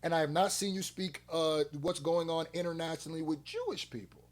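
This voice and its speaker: A man giving casual, blunt and animated commentary as a music reaction host, full of slang and rhetorical questions. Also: on the high side, brisk.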